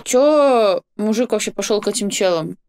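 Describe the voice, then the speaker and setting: A woman's voice: mid-range voice, lively intonation, moderate pace, a little mellow, smooth, soft background music. A young woman hosting a reaction video, chatting casually in slang and voicing imagined dialogue. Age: young adult. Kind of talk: casual chat. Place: small indoor streaming room.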